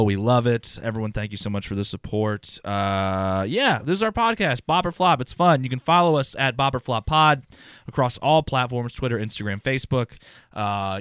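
A man's voice: medium pitch, fairly bright tone, smooth, dry recording, clean studio sound. A man co-hosting a podcast, rambling through plugs and jokes with a self-deprecating streak. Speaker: podcast co-host. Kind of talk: casual podcast banter.

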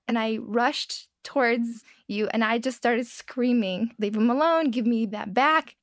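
A young woman from Iraq, calmly telling a personal story in a recorded conversation. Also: a little high, measured pace, no echo, studio quality. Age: young adult.

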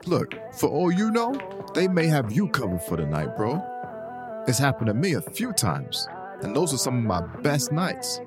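Man playing a brash, animated buddy. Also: medium pitch, normal speed, music in the background. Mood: exasperated. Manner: blunt, ribbing, slangy. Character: brash, teasing, advice-giving buddy playing pool. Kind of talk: casual conversational audio drama acting.

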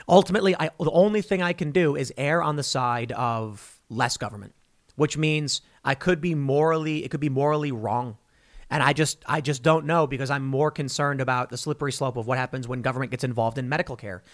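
A man's voice: on the high side, fairly bright tone. A man hosting a political commentary show, talking off the cuff in a rambling, argumentative way and repeating words in bursts as he makes his points. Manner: rambling and argumentative, voicing imagined exchanges. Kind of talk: unscripted political commentary monologue.

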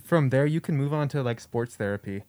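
Fast-talking young man, mid-pitched. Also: a little mellow, no room sound. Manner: slangy, says bro and dude often. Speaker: podcast co-host. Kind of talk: casual chat with friends.

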